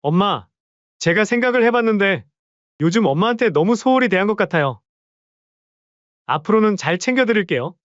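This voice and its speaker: A man's voice: sits high, animated, fairly quick, long pauses, fairly bright tone, dry recording, lo-fi sound. A man voicing an awkward younger son who sweet-talks his mother with overly polite words. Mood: ingratiating.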